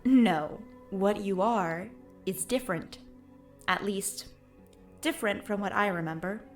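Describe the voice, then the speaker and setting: A woman's voice: medium pitch, unhurried, faint music behind, lightly acted. A woman as a guarded, cryptic female ghost. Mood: challenging, cryptic. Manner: aloof, challenging, enigmatic. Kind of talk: audio drama dialogue.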